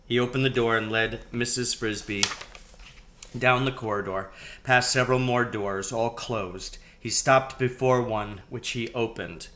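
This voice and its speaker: A man reading a children's novel aloud in a storybook narration style, with measured, deliberate phrasing. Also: mid-range voice, fairly even intonation, sharp tone. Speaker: reader, teacher.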